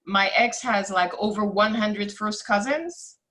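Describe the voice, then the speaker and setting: A woman's voice: mid-range voice, measured pace, crowd noise. A woman from France, chatting on a video call in a study group and explaining things easily. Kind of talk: casual chat on a video call.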